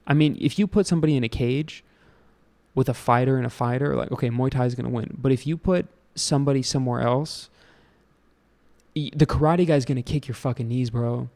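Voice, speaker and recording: Young American man, host of a solo rant show, rambling and profane, gravelly mid-pitched voice. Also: darkish tone. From United States. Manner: rambling, profane, many fillers and restarts.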